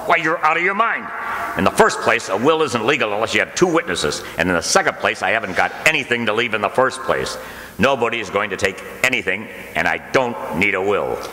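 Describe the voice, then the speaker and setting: An actor playing a grumbling, sardonic, exasperated henpecked husband in an old-time radio comedy. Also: male voice, lowish voice, big pitch swings, fairly quick, sharp tone. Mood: indignant, ranting. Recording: echoey hall, clear background noise.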